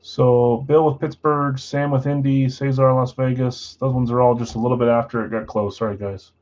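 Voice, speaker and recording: Low-key man hosting a card break stream. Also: flat delivery, normal speed, a little mellow, highs cut off. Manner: self-described boring voice, low-key and matter-of-fact. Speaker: host of a live trading card break stream. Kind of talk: casual livestream chat, reading out buyers and spots.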